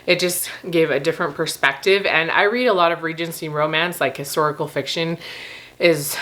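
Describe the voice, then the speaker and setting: Low-voiced, slightly gravelly woman chatting casually. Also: fairly even intonation, a little fast, a bit bright. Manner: informal, laughs often while talking. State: slightly rough, gravelly voice after losing it. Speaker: book review vlogger. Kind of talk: casual rambling chat to camera.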